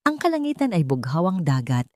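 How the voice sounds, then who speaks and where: A woman's voice: fairly low pitch, sing-song, a little fast, dry recording, clean studio sound. A woman narrator reading a scripted romance story in a dramatic storytelling style.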